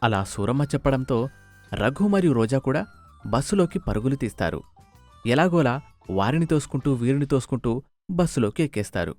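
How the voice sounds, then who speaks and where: A man's voice: medium pitch, very animated, normal speed, long pauses, darkish tone. A man narrating a scripted moral story as its storyteller. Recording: music under the voice.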